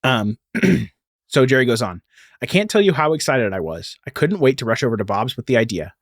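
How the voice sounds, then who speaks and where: Male podcast co-host, mid-pitched, explanatory and conversational, self-correcting, very dry sound. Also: big pitch swings, moderate pace, no echo. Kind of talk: casual explanatory chat with some reading aloud.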